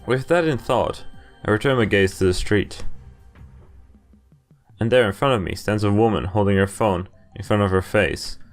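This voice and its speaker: A man's voice: lowish voice, normal speed, soft background music. A man hosting a game playthrough, reading visual novel narration aloud and breaking off for casual commentary. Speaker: video game playthrough host.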